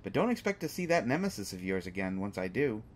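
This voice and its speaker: A man playing a flirtatious, teasing superhero who is secretly smitten, in a halting, playful conversational way for a roleplay audio drama. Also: fairly low pitch, big pitch swings, a little hiss or hum. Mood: wounded, coaxing. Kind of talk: roleplay audio drama, acted monologue to listener.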